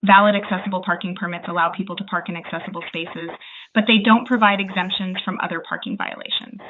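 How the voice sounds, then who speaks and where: Tinny phone-line woman, brisk customer service agent. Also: fairly low pitch, steady tone, crowd noise. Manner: polite, formal, evenly measured phrasing without hesitation. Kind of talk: scripted customer service answers. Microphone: phone call.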